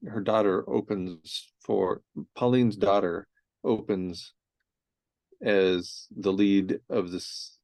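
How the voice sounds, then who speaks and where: Older guy in his 60s, host, chatty animated storyteller, kinda gravelly. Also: lowish voice, dry recording. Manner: animated storyteller, laughs often. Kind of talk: casual conversation.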